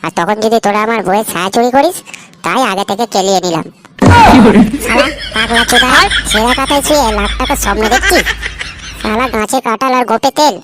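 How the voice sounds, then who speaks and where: Woman doing a sneering local big-brother cartoon tough, west bengal accent, sweary, quick, noisy background. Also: a little high, close to an everyday voice. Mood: scornful. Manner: scornful, foul-mouthed, scolding. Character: mocking male neighborhood tough, scolding elder brother figure. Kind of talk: comic cartoon dialogue.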